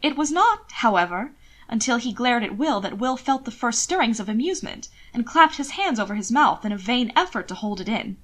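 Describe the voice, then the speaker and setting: A woman's voice: on the high side, animated, a little fast, fairly bright tone. A woman reading scripted romantic fiction expressively, as an audiobook narrator.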